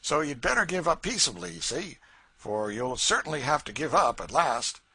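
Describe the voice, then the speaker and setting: A man's voice: mid-range voice, sing-song, moderate pace, bright, forceful tone, husky, no room sound, voice pushed up, full character voice. A man reading aloud, voicing a short, puffy, pompous constable who wheedles.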